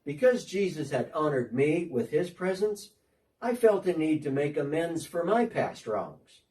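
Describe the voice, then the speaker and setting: Short, earnest tax collector recounting his change of heart , played by a man, lively up-and-down delivery, mellow tone, miked from a distance. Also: on the high side, unhurried. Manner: earnest storytelling, talking as if on a phone call. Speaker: dramatic reader. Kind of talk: first-person dramatic monologue.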